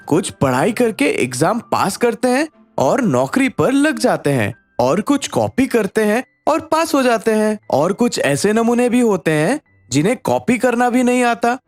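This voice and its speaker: A man voicing a cheeky, casual young friend in an animated story. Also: sits high. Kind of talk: animated story character dialogue.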